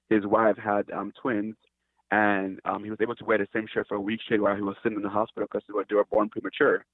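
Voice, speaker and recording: A man's voice: fairly low pitch, no room sound. A man from Cameroon, a company founder, talking earnestly and hesitantly in an interview, often restarting his sentences. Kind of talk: casual interview talk. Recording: tinny dry phone line.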